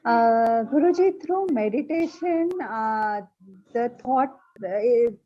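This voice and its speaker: A woman's voice: on the high side, lively intonation, slow pace. A woman answering a teacher's question on a video call, hesitant and full of fillers. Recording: dull video call audio.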